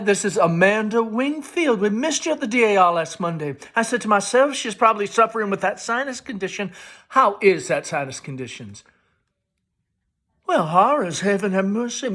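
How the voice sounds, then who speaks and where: A middle-aged Southern mother played by a man chatters away in a high, swooping voice. She gushes and wheedles theatrically, as in a stage play read aloud. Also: expressive, normal speed, sharp tone. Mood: gushing, wheedling. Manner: gushing, theatrical.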